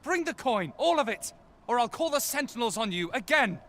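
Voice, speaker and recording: An actor playing a gruff, impatient male shopkeeper in a fantasy video game who barks threats. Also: extremely high pitch, big pitch swings, fairly quick, pushed and bright, full character voice. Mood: angry. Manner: threatening, barking.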